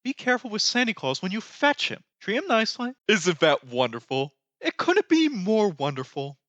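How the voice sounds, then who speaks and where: A performer voicing a lanky skeleton Pumpkin King from an animated musical, grandiose, excitable and melodramatic, with sweeping theatrical delivery. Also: mid-range voice, moderate pace, dry recording, lo-fi sound. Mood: genteel, delighted. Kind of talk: fan re-recording of animated musical film lines.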